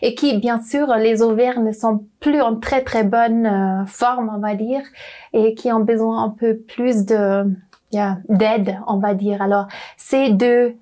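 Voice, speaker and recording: A woman's voice: a little high, fairly even intonation, slow, dark tone. A young woman doctor speaking as an interview guest in a language that is not her first, hesitant and often searching for words. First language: not French. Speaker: doctor at a clinic.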